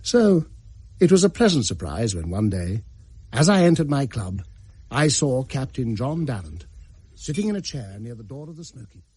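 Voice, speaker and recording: A man playing a polite, measured English gentleman of the Victorian era, narrating and acting in a period audio drama. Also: medium pitch, sing-song, slightly rough. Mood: reflective. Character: genteel Victorian gentleman narrator, settled, earnest, cautious.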